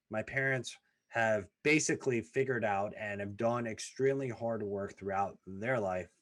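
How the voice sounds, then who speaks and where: Man, 30s, lowish voice, earnest personal story, emphatic lists. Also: a bit bright. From former Soviet Union, raised in the US. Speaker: podcast host.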